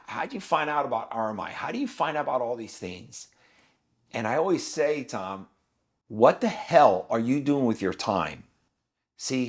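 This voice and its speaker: A man in his fifties, a guest on a podcast, talking in an emphatic, preachy and blunt way. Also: medium pitch. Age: 50s. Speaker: guest, business owner. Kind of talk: podcast conversation.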